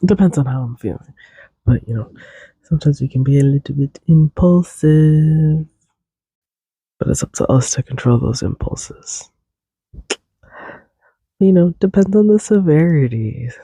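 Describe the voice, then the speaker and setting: A man's voice: a little high, lively intonation, measured pace, pauses often, mellow, breathy edge, no room sound, poor audio. A young man hosting a solo podcast, rambling casually and a little congested, drifting off on tangents and humming tunes. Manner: casual, tangent-prone, hums and sings tunes. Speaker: podcast host.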